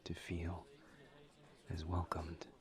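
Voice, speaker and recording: Man acting a very slow, low-voiced gentle incubus. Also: monotone. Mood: tender, earnest. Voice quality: breathy, creaky, low murmur. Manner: slow, halting, careful, with long pauses. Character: gentle, guarded male incubus demon, earnest and reserved. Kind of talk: intimate roleplay audio drama, partly whispered.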